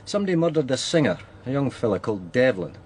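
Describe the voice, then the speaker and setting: A man's voice: medium pitch, sing-song, fast, a little mellow, slight background noise, natural voice. An actor playing a seasoned male police sergeant with a Scottish accent, matter-of-fact and reserved, in a radio drama. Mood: grim, matter-of-fact. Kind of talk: naturalistic radio drama acting.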